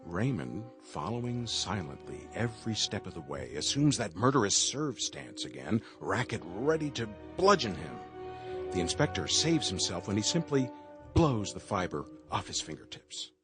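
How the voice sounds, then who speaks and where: A wry radio-play host with a low, animated voice. He builds mock suspense with emphatic, theatrical phrasing over a clear bed of music. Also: normal speed. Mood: dramatic, droll. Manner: arch, dramatic, emphatic. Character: wry, mock-suspense male host narrator in Hitchcock manner.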